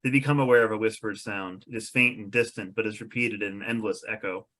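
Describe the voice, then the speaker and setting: A man's voice: on the low side, fairly even intonation, fast talker, fairly bright tone. A man reading stage directions aloud as narrator at a script table read.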